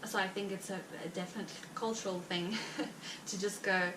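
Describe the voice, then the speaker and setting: A heavily pregnant woman with a South African Afrikaans accent, chatting casually to her viewers in a rambling way with many fillers and quick laughs. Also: medium pitch, fairly even intonation. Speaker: vlogger. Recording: roomy, distant mic with background noise.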